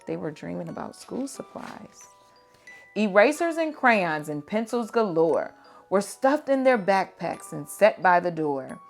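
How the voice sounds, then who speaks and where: Woman reading slowly to children over music. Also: on the low side, sing-song, vocal fry. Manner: slow, deliberate, dramatic storytelling for young children. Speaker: teacher reading picture books aloud. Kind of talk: expressive children's read-aloud.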